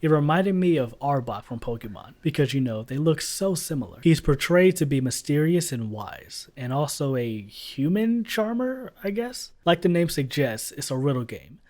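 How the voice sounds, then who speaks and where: A man's voice: a little high, animated, normal speed, few pauses, darkish tone. A man giving casual voice-over commentary as a video reviewer, blunt and sarcastic, swearing freely. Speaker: YouTube reviewer.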